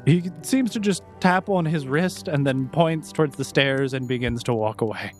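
A man narrating as game master in a tabletop game, calm and matter-of-fact. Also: mid-range voice, moderate pace, soft background music.

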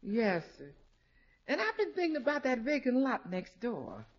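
A woman's voice: fairly high pitch, huge pitch swings, moderate pace, slightly rough, exaggerated voice. A woman playing a loyal, folksy housekeeper in a 1950s radio comedy, deferential yet emphatic. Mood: coaxing. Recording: muffled old radio recording.

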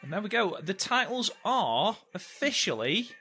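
Young guy on a podcast, bright voice, teasing and jokey, kinda high. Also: very animated, moderate pace, husky. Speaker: podcast co-host. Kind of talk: casual watchalong chat.

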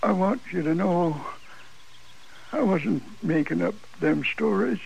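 An actor playing a dying old American gunfighter in a 1950s radio western, speaking weakly in halting, broken phrases, proud and desperate to be believed. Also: male voice, high voice, expressive, gravelly, a little mellow, husky, exaggerated voice, poor audio. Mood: weary, resigned. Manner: halting, broken, laboured speech. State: weak and dying. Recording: old radio recording with faint noise.

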